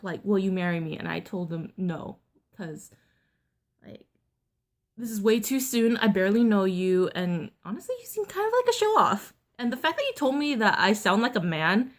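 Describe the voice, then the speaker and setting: A young woman vlogger with a deeper voice than most women, telling a personal story in a candid, blunt, chatty way with lots of fillers. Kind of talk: casual storytime vlog.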